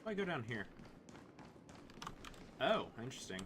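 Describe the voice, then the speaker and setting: A man's voice: mid-range voice, sing-song, unhurried, background noise. A man doing casual gameplay commentary as a streamer, muttering and thinking aloud to himself. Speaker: game streamer. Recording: loud game music behind, keyboard clicks.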